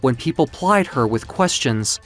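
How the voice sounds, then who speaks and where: A narrator telling a dramatic story in a measured, literary, theatrical way, as in an audio comic book. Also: medium pitch, animated, soft background music.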